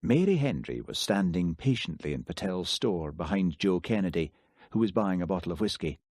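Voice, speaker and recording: A man narrates a novel in a steady, measured way. His voice is low, and the recording is very dry and close to the mic. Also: no room sound. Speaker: audiobook narrator. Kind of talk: scripted narration.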